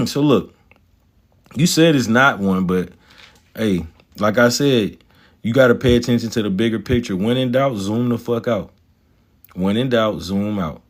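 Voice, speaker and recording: Man, lowish voice, cocky, profane, slangy stock talk to a live chat. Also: unhurried, pauses often. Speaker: stock market livestreamer. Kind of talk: casual livestream chat about stocks.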